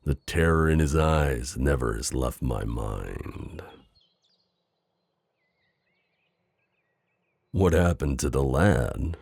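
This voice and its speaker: An extremely low, crackly-voiced knight, acted by a man, heard close and dry. He speaks in a courtly, tender way for a romantic audio roleplay, with lively swings in tone and a teasing, overprotective temper. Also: slow pace, gravelly, no echo, heavily acted. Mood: somber. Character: loyal, teasing, overprotective male knight hiding a princess. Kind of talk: improvised romantic audio roleplay, addressing the listener.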